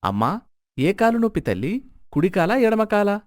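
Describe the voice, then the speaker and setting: A man's voice: high voice, big pitch swings, normal speed, no echo, clean studio sound, pitched above the performer's own voice. A man voicing a sly village beggar in a moral story, theatrical and sarcastic, full of mock pity. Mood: teasing. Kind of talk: Telugu moral-story character acting.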